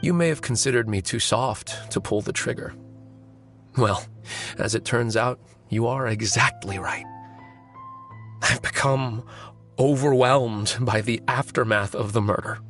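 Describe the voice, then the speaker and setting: A tired polite novelist confessing in a video game, mid pitched, calm n measured, bit of music under it. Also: male voice. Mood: weary, resigned. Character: weary, composed male novelist confessing to a revenge murder. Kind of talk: dramatic video game voice acting. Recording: music behind the voice.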